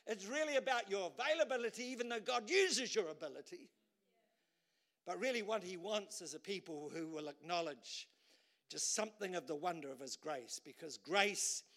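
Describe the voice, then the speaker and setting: A male pastor preaching a sermon to a church, animated, swinging between loud bursts and low asides and repeating words as he pushes a point.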